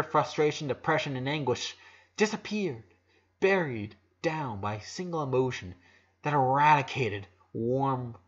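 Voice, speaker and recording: A man's voice: on the high side, slightly rough, highs cut off. A man reading a dramatic story aloud as narrator, emotive, with occasional stumbles.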